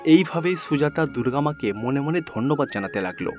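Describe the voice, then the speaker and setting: A man's voice: medium pitch, animated, brisk, soft background music. A man narrating a scripted children's fairy tale as a storyteller.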